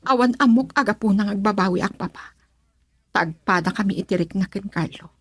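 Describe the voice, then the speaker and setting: A woman's voice: medium pitch, brisk, breathy edge, no room sound, clean studio sound, lightly acted. A woman playing a headstrong, insistent young bride-to-be who defies her father in an Ilocano radio drama. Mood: defiant.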